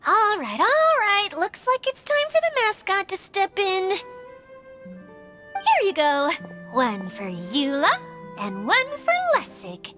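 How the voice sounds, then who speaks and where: A woman's voice: extremely high pitch, wildly swooping pitch, slow pace, sharp tone, heavily acted. A woman voicing a cheerful young female mascot in a video game, bubbly and upbeat. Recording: game cutscene with background music.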